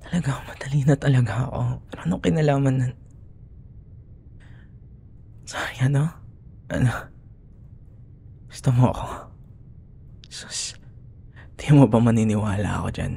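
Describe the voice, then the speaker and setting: A man with a Filipino accent playing a young, grudging, secretly smitten school rival in an intimate, breathy ASMR roleplay, often dropping into whispers. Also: mid-range voice, moderate pace, pauses often, mellow. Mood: disbelieving, tender. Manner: teasing, grumbling, hesitant. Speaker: audio roleplay voice actor.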